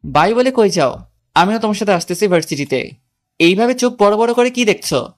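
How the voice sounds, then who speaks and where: A woman voicing a composed, bossy young husband, giving calm instructions in a romantic audio story. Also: mid-range voice, a little fast, close to an everyday voice. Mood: firm. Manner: calm, directive.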